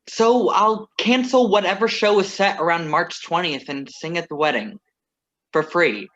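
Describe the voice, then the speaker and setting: A man's voice: sits high, measured pace, fairly bright tone. A young man reading the part of a famous, restless young singer in a virtual staged reading, with a wry, teasing delivery. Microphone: video call. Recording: muffled lo-fi video call audio.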